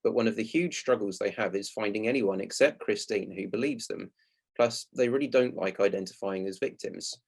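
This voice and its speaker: Casting: male presenter, lowish gravelly voice, explaining a play to listeners in a prepared talk. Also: brisk.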